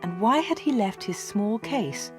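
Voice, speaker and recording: Woman reading a learners' story, clear. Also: mid-range voice, animated, music in the background. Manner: clear and measured. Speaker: audiobook narrator. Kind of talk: slow read-along storytelling for learners.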